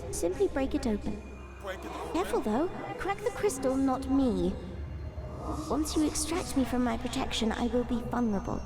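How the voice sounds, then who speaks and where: Woman as a high-voiced solemn magical seed spirit. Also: expressive, moderate pace, over music. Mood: instructive, grave. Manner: formal, solemn, oracular. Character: wise, solemn female magical seed spirit, ancient yet newborn. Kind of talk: fan audio drama acting.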